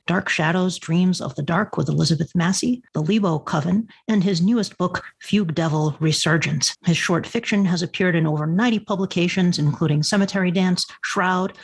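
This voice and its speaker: Guy hosting a podcast, voice kinda high and really husky, friendly interview chat. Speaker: podcast host.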